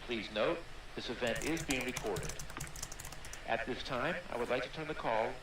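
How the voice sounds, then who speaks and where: A male conference operator reads a formal scripted announcement in a mid-pitched voice. The sound is extremely echoey and somewhat distant, with clear noise around it. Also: normal speed. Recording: echoey distant call playback, noisy room.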